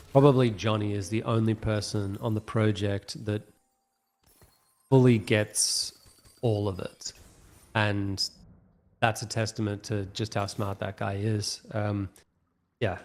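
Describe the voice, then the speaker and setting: A man leading a project, giving thoughtful, measured answers at an online town hall and slowing down to explain things. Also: on the low side, little pitch movement. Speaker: project lead answering questions.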